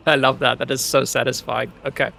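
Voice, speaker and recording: A man's voice: mid-range voice, creaky, sharp tone, slight background noise. A man from Zimbabwe streaming a game, chatting easily with his viewers and laughing often while he plays. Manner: easygoing, chatty, laughs often, talks to chat. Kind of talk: casual gameplay commentary.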